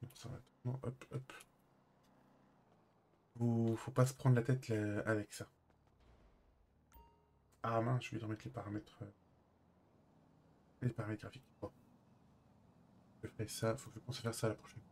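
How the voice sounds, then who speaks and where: A man chatting casually while live-streaming a role-play game, halting and often trailing off. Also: lowish voice, level tone, gravelly, a little mellow.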